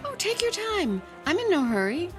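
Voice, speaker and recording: An actress playing a pert, persistent young lady in an audio drama, with an animated, questioning manner. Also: very high-pitched, normal speed, music in the background. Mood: impatient, insistent.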